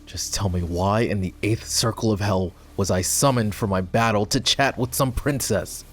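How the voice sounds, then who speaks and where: A man playing a proud, bitter lowborn knight and war hero in a dramatic fantasy roleplay audio, with a sardonic, scornful manner. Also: normal speed, natural voice. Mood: sardonic, impatient. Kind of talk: fantasy roleplay ASMR voice acting.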